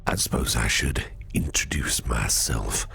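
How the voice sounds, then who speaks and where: Gravelly, ultra-deep shady crime boss. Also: male voice, a bit bright, a little hiss or hum, heavily acted. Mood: suave, welcoming. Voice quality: very deep gravelly whispery growl. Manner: slow, drawling, suave and intimidating, with lingering pauses. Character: shady, flirtatious, menacing crime boss, smooth-talking and sinister. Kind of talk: ASMR roleplay, close personal attention.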